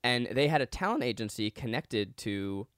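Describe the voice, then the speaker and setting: A young man chatting casually as a podcast guest, joking and animated, breaking into laughter. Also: medium pitch, moderate pace, smooth, no room sound, studio quality. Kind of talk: casual chat.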